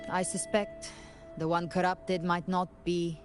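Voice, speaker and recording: Woman playing a very low-voiced grave female strategist. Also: little pitch movement, over music, lightly acted. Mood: analytical. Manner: measured, formal, serious. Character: grave, knowing woman strategist, calm and resolute. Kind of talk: video game dialogue, dramatic exposition.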